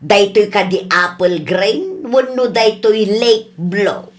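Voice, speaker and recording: A woman's voice: medium pitch, expressive, slow. A woman with an Ilocano Filipino accent playing a bossy, meddling older mother in a radio comedy drama. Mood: coaxing, vain. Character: bossy, meddling older mother who will not be refused.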